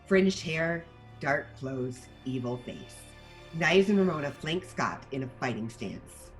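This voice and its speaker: A woman reading stage directions as scripted narration at a table read, in an animated manner. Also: deep voice, takes it slowly, soft background music.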